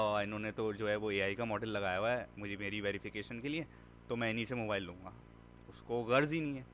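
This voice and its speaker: A man's voice: fairly low pitch, fairly bright tone, a little hiss or hum. A man teaching a machine learning class in a conversational way, mixing in English words and repeating points for emphasis. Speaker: instructor. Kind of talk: classroom lecture.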